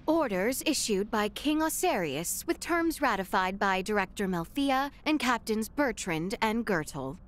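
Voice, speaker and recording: An actress playing a proud young princess in a video game, speaking in formal, stern, courtly phrases. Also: female voice, mid-range voice, lively intonation, a little slow, few pauses, natural voice. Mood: stern, commanding. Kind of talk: dramatic video game voice acting. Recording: orchestral game music behind. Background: loud background music.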